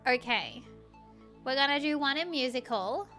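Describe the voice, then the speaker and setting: High-voiced woman streaming a game over music. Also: animated, moderate pace, sharp tone. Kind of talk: livestream let's play, reading game text aloud and reacting. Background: background music.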